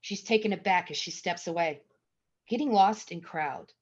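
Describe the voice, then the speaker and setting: A woman's voice: on the low side, moderate pace, husky, faint music behind. A woman narrator reading out screenplay stage directions as scripted narration. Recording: dull lo-fi audio.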